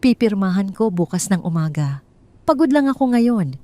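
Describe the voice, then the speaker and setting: A woman's voice: mid-range voice, very animated, moderate pace, warm tone, voice pushed up, natural voice. A woman voicing an evasive cheating husband in an audio drama, speaking in a hesitant, stalling way. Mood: hesitant, weary.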